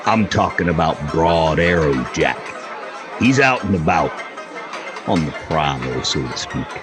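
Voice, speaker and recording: Guy doing a cranky old western yarn spinner, low n slow, wheezy, music behind. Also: lively intonation, a crowd in the background. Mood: irritable. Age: older. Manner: folksy, gruff, drawn-out yarn-spinning. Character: cantankerous old storyteller in a Wild West saloon.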